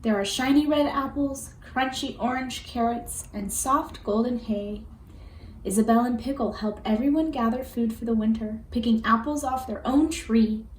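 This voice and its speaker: A woman with a fairly high voice reads a children's storybook aloud. Her delivery is expressive and deliberate, shaped for young listeners. The recording has a roomy echo and some faint background noise. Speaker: storybook reader. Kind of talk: children's read-aloud narration.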